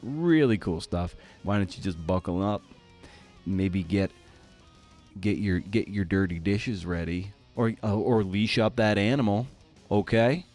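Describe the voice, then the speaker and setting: A man's voice: on the low side, measured pace, a little mellow, soft background music. A man hosting an interview podcast in a casual, slangy, laid-back way.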